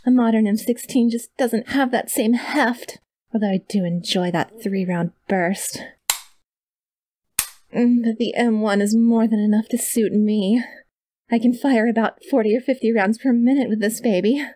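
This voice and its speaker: A woman's voice: on the high side, normal speed, mellow, a little hiss or hum, lightly acted. A young woman playing a sweet, awkward, obsessive kidnapper who feigns innocence in a chatty, rambling ASMR roleplay told straight to the listener. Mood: proudly enthusiastic. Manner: chatty, rambling, flustered self-denials, hesitant filler words. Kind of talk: ASMR roleplay, one-sided comedic monologue to the listener. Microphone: close studio mic, dry.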